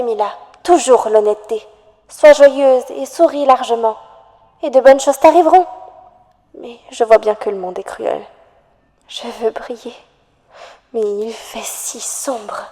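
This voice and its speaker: A woman's voice: fairly high pitch, a little slow, long pauses, mellow, close to an everyday voice. A woman voicing a desperate young woman trapped in darkness, pleading earnestly in a dramatic horror cartoon monologue. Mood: wistful, fearful. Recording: long reverb.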